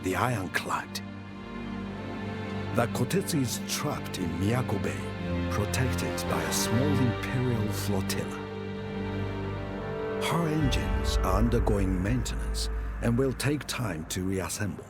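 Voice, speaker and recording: A solemn narrator of a historical war chronicle for a game cutscene. His low voice moves expressively through measured, dramatic storytelling. Loud cinematic music runs underneath. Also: animated. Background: prominent music.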